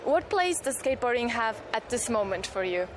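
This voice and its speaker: A woman's voice: fairly high pitch, very animated, a little fast. A woman with a Romanian accent, a native Romanian speaker, asking casual interview questions. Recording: noisy outdoor urban ambience with traffic.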